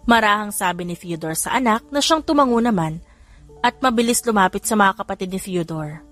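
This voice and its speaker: A woman's voice: medium pitch, expressive, fairly quick, fairly bright tone, soft background music. A woman reading a scripted romance audiobook as its narrator.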